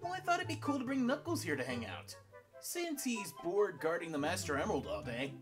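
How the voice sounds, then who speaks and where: A woman's voice: lowish voice, very animated, a little fast. A woman voicing an easygoing young male cartoon hedgehog hero, casual and coaxing. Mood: relaxed, coaxing. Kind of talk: comic dub voice acting. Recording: music playing behind the voice.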